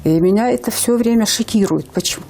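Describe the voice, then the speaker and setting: A woman's voice: a little high, fast, gravelly, mellow, faint music behind. A woman in her fifties from Ingushetia, a language teacher speaking earnestly as a guest on a TV morning show, with hesitant fillers. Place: TV studio.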